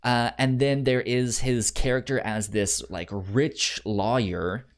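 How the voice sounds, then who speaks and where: A man chats casually as co-host of a film podcast, at a slow pace. He speaks haltingly, with a stutter that repeats sounds and words several times over. Now and then he slips into acting out a paraphrased line. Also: mid-range voice, fairly even intonation. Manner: halting, acts out paraphrased lines. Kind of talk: casual chat about a film.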